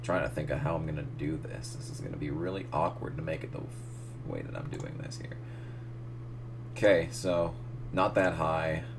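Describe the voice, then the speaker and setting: Young man, low voice going hoarse, casual self-narrating editor who swears at the screen. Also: lively intonation, moderate pace, noisy background. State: losing his voice. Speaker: gaming channel host. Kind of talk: casual tutorial commentary while editing.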